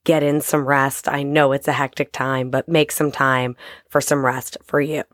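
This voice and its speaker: Raspy woman w a cold, low voice, hosting her little pep talk podcast, super dry close sound. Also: little pitch movement, normal speed, dry recording. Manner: warm encouraging, speaks straight to listener. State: hoarse from a cold. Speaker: podcast host. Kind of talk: short motivational podcast talk.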